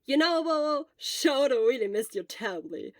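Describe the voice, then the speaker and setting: A woman's voice: high-pitched, animated, normal speed, dry recording, clean studio sound, pitched above the performer's own voice. A woman playing a cheerful, teasing young man, an exuberant best friend in an audio story.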